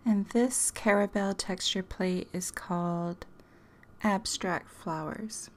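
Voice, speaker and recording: A woman hosting a craft tutorial, talking casually and chattily with a hoarse, strained voice. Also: medium pitch, fairly even intonation, takes it slowly. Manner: chatty, self-deprecating, laughs often. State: hoarse, losing her voice.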